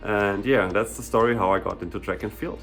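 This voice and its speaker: A young man from Germany with a German accent, a sprinter and hurdler answering viewer questions in a relaxed, chatty way, full of "you know" and "like". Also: fairly low pitch, a little fast, faint music behind. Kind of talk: casual Q&A vlog.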